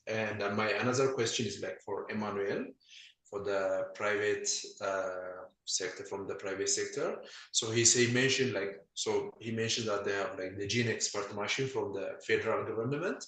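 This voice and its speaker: Halting man on a roomy call. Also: on the low side, fairly bright tone. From Ethiopia. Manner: halting, heavy use of "like" fillers. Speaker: webinar participant asking questions. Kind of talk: questions on a video call. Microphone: video call, faint and muffled.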